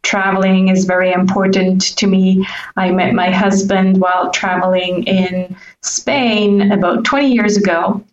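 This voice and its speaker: A woman's voice: medium pitch, level tone, measured pace. A Swiss woman in her forties whose first language is Swiss German, speaking English with a North American accent picked up from her Canadian husband, chatting casually and laughing easily as a podcast guest. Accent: North American English picked up from a Canadian spouse. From Switzerland. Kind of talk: casual interview talk. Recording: dull, distant, roomy audio.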